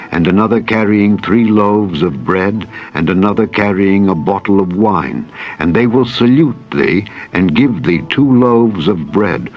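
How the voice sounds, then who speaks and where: Man reading as a solemn prophet, low voice. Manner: measured, archaic formal speech. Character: solemn male prophet instructing a newly anointed leader. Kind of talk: dramatized scripture reading. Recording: dull radio-like sound, music behind.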